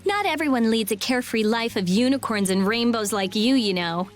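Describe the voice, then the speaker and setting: A female actor voicing a blunt, brainy teenage girl researcher in a video game, brisk and insistent. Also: a little high, lively intonation, lightly acted. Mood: sarcastic. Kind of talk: English video game voice acting.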